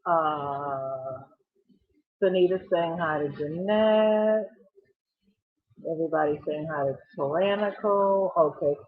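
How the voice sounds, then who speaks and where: A woman with a stuffy, congested voice hosts a relaxed livestream, chatting with viewers and greeting each one by name. She talks at an unhurried, slow pace. The sound is thin and tinny, like a phone line. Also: low-pitched. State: congested, recovering from a cold. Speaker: crochet livestream host. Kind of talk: casual livestream chat, reading viewer comments.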